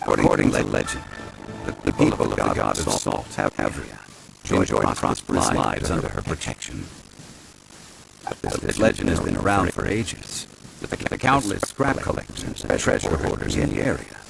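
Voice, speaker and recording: A learned, composed man from a video game. His voice sits very deep with a gravelly grain. He explains things in a measured, matter-of-fact manner. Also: expressive, very noisy, heavily acted. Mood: calm, informative. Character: calm learned man, knowledgeable about old legends. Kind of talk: video game character dialogue.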